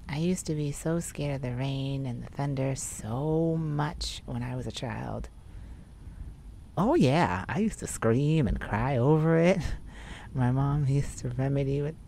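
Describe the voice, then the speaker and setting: A woman speaking softly and intimately in an ASMR roleplay, tender and soothing, close to the microphone. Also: sits low, a little hiss or hum. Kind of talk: soft ASMR roleplay, intimate comforting talk.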